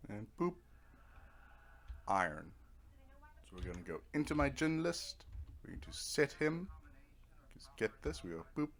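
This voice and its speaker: A man's voice: medium pitch, big pitch swings, a little slow, pauses often. A man giving casual, rambling let's play commentary, thinking aloud as he goes. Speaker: gamer narrating own playthrough.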